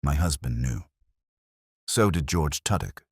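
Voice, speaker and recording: A man's voice: rumbling low, animated, a little slow, a little mellow, breathy edge, no room sound, clean studio sound, exaggerated voice. A man voicing a composed, bitter middle-aged woman who speaks in a cool, cutting way. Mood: controlled.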